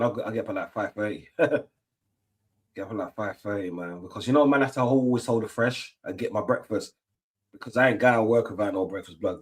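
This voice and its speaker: A man's voice: lowish voice, husky. A middle-aged man, chatting casually on a football watch-along stream, rambling with lots of fillers and restarts. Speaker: stream host.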